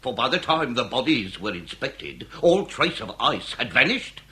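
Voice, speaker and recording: A man's voice: mid-range voice, sing-song, normal speed, bright, forceful tone, slightly rough, exaggerated voice. A man acting a shrewd, relentless Victorian police inspector in a period radio drama, with formal, declamatory delivery. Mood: triumphant, pointed. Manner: formal, declamatory, theatrical interrogating. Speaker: actor.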